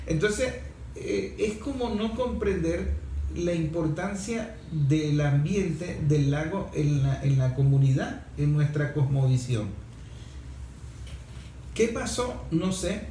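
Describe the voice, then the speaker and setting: A man with a Venezuelan accent and a somewhat high voice hosts a radio talk show. He explains things reflectively, like a teacher thinking aloud and asking rhetorical questions. The room is very echoey, with faint noise behind him. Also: unhurried, warm tone. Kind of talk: conversational radio talk about environmental issues.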